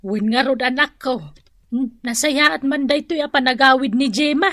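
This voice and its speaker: A woman's voice: high-pitched, moderate pace, a bit bright, dry recording, clean studio sound. A woman playing a resigned, grumbling old mother with an Ilocano accent in a radio drama.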